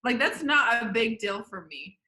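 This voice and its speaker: A woman's voice: a little high, expressive, moderate pace, pushed and bright. A woman, a podcast guest chatting casually and rambling, with lots of "like". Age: 20s. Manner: rambling, heavy use of "like". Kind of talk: casual chat.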